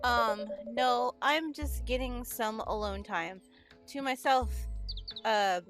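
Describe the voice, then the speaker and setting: A woman's voice: a little high, slow, fairly bright tone, faint music behind. A playful, giggly woman streaming a visual novel, chatting casually and reading out dialogue.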